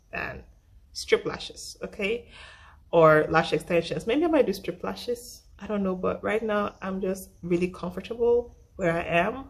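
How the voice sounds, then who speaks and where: A young woman talks through her makeup brushes in a relaxed tutorial. Her voice is husky and roughened by a flu she is getting over, and it sits a little low. She is chatty and self-deprecating, and she circles back to repeat her points. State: recovering from flu. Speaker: makeup vlogger. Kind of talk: casual makeup tutorial talk.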